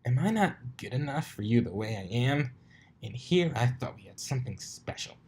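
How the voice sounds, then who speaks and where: Man voicing a brash teasing girl pony, pitched up. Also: lively intonation, moderate pace, darkish tone, slightly rough. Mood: cocky teasing. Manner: cocky, mocking, exclamatory. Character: brash, teasing young female pegasus pony, easily panicked.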